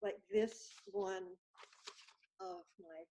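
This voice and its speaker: A woman guest chatting over a video call, telling stories with lively acted-out dialogue. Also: on the high side, extremely slow, creaky, a little hiss or hum, poor audio. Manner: animated storyteller, acts out dialogue. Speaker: guest artist. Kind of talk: casual video chat.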